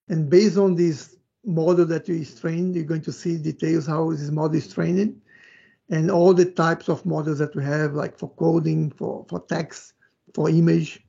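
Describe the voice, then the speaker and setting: A man's voice: fairly high pitch, creaky, dark tone, breathy edge. A man from Brazil with a Brazilian accent, giving an informal tech presentation in a conversational, loosely phrased way. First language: Portuguese. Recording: dull, muffled lo-fi audio.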